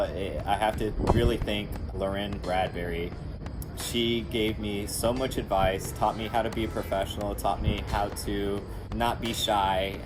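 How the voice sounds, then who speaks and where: A man's voice: lowish voice, rough recording. A young man from Houston, a recent graduate now working as an engineer, talking earnestly to camera from an outdoor rooftop. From Houston, Texas. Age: young adult. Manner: earnest, conversational, with small restarts. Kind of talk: talking to camera about his studies and career.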